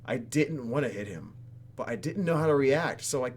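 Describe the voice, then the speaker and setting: A man's voice: mid-range voice, lively intonation, normal speed, a little mellow, husky. A man hosting a gaming video, reading game text aloud and adding theatrical, jokey asides, with some noise behind him.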